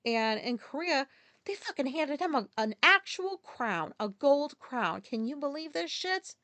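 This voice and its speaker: A woman's voice: high-pitched, big pitch swings, unhurried, fairly bright tone, dry recording. Ranting woman podcaster, dry close sound. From Japan. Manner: ranting, profane, full of fillers and asides. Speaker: podcast host. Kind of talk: solo venting monologue.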